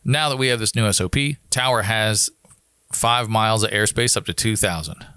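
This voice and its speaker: A man with an American accent and a fairly low voice chats in an informal, explanatory way. The sound is close and dry, with very little room around him, as on a podcast. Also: moderate pace, sharp tone, clear, resonant tone, no echo. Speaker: air traffic controller, podcast guest. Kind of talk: casual chat, explaining.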